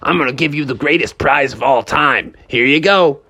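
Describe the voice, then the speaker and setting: A man's voice: mid-range voice, normal speed, bright, forceful tone. A man voicing a laid-back, sly male carnival game attendant in a children's story. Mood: impressed. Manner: dude-like, sly, casual.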